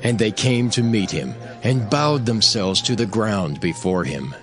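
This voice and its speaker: Very expressive male scripture narrator. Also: on the low side, unhurried. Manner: solemn, storytelling. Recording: music behind the voice. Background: prominent background music.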